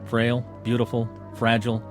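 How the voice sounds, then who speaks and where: A man's voice: mid-range voice, completely flat delivery, normal speed, music in the background, close to an everyday voice. An actor playing a proud, wryly bitter clubman in an audio drama, speaking formally and theatrically. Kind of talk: modern audio drama acting.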